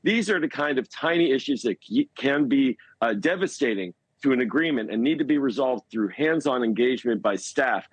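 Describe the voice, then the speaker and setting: A man with a mid-pitched voice works through analysis as a television news guest. He is measured and halting, leaning on key words for emphasis. The sound is dry, with very little room in it. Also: expressive, breathy edge, no room sound. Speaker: foreign policy commentator, news guest. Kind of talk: live news interview analysis.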